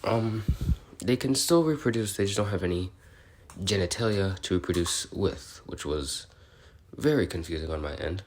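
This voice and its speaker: A man's voice: low voice, expressive, normal speed, a little mellow. A man playing an awkward, curious, bookish researcher recording an audio log, explaining in a hesitant, rambling, politely apologetic way. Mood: curious, musing. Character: male researcher logging species notes, awkward, curious, bookish. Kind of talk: in-character audio log, semi-improvised explaining.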